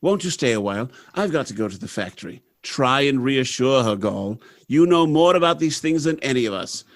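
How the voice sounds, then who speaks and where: A man's voice: medium pitch, expressive, brisk, lightly acted. A man playing a confident, idealistic factory boss and husband in a radio drama, with a breezy, formal, self-assured manner. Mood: breezy, hearty.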